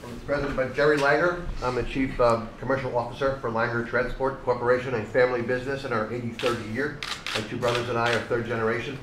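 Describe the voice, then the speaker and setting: A man introducing himself as a company executive, earnest and slightly halting. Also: mid-range voice, flat delivery. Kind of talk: formal self-introduction. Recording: echoey room with noise.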